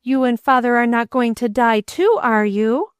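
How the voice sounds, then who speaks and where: A woman's voice: fairly high pitch, moderate pace, clean tone, dry recording, clean studio sound, pitched above the performer's own voice, close to an everyday voice. A woman voicing an earnest young boy in a storybook reading, speaking eagerly and pleadingly in a raised voice. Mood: alarmed. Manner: eager, pleading, rising to outbursts. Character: earnest boy, grieving and indignant.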